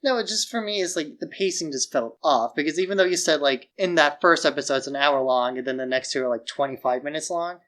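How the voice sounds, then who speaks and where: A man's voice: fairly high pitch, fast, few pauses, fairly bright tone. A man co-hosting a podcast, chatting informally and arguing his opinions with loose, colloquial phrasing. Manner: informal, frequent "like" while arguing points. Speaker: podcast co-host. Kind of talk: casual opinionated chat.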